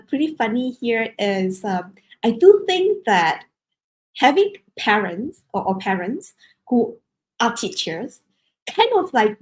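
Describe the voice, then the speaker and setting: A woman's voice: a little high, rough recording. A woman hosting a casual interview, speaking in a hesitant, wordy way with many conversational asides and filler phrases. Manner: hesitant, wordy, peppered with "like, you know". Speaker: interview host.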